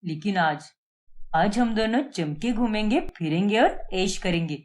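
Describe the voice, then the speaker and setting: A woman voicing a smooth-talking young cheating husband in a cartoon story, in a placating manner. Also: mid-range voice, animated, measured pace, close to an everyday voice. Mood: eager. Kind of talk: Hindi cartoon moral-story voice acting.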